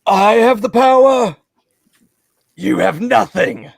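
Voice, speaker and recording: A man's voice: high voice, lively intonation, slow pace, gravelly, no echo, studio quality, heavily acted. A man playing a menacing, petulant sci-fi villain in a live comedic script read, with theatrical, exaggerated delivery. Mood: furious, boastful.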